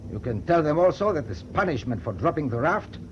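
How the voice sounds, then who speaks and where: A cold warship captain, on a muffled, noisy old radio recording. His fairly high voice is clipped and formal, with sweeping, sarcastic menace. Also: big pitch swings, a little fast. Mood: harsh, punishing. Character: cold, imperious male German ship's captain in wartime. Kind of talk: radio drama acting.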